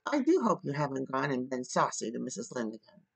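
A woman's voice: a bass voice, big pitch swings, fairly quick, gravelly, husky, full character voice. A woman voicing a stern older female guardian in a storybook, curt and no-nonsense. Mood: stern questioning.

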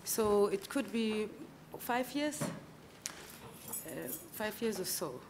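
A South African woman in her forties, answering interview questions formally and carefully, with frequent pauses and fillers, at a table microphone in a large conference room. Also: medium pitch, darkish tone, slight background noise. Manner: measured, hesitant with fillers. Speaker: candidate advocate interviewed for judgeship.

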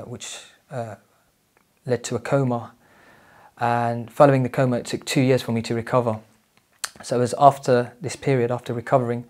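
A man with a British accent giving measured personal testimony, repeating words as he goes. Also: mid-range voice, a little mellow. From Britain. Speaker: anonymous interviewee.

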